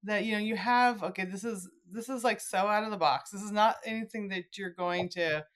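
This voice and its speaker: Chatty woman podcast host, medium pitch. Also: a little fast. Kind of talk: casual conversational interview.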